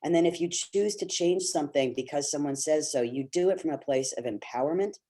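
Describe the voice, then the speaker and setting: A man's voice: on the high side, brisk, warm tone. A middle-aged man from Canada, an actor speaking on a video call webinar, talking earnestly and encouragingly with many fillers and restarts. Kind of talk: candid talk to young actors.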